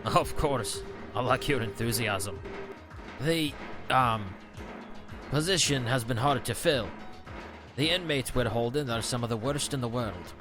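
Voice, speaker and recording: A man playing an excitable, gullible prison warden in a comic dub, earnest in his way of talking. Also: mid-range voice, measured pace, music in the background, close to an everyday voice. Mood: pleased, trusting.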